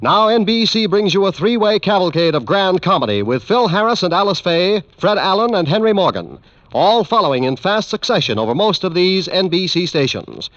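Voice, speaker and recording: Animated high-pitched vintage American radio announcer man. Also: brisk, no room sound. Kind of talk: 1940s radio announcing, scripted credits. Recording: muffled old radio broadcast, music behind. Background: music.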